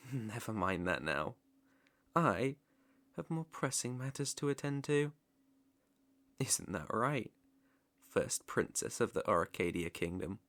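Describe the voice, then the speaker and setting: A man's voice: lowish voice, fairly bright tone, no echo, exaggerated voice. A man playing an obsessive, sinister young villain king in an ASMR roleplay, speaking theatrically and sometimes dropping to a whisper. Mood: mocking. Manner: sinister, theatrical, slow drawn-out phrasing with whispers.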